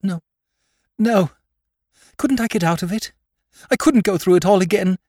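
Man voicing a shrill distraught young woman. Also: unhurried, a little mellow, dry recording, studio quality. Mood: frantic. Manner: shrill, near-hysterical pleading.

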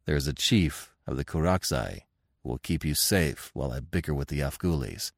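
A man playing a fierce, proud barbarian warrior chief in an audiobook adventure, gruff, growling and sardonic. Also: extremely low pitch, normal speed, no echo, clean studio sound, pitched below the performer's own voice. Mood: bold, demanding.

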